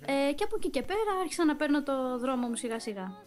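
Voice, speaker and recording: A woman's voice: high-pitched, expressive. A woman, chatting loosely and a little hesitantly as she answers in a casual interview. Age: 20s. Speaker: interviewee, voice actress and singer. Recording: loud music behind the voice. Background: music.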